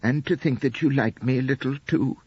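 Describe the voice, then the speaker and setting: Man voicing a breathy, high, adoring young wife. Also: fairly quick, no room sound, dull audio, close to an everyday voice. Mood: coy, affectionate. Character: sweet, silly, adoring young British wife. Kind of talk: audiobook character acting.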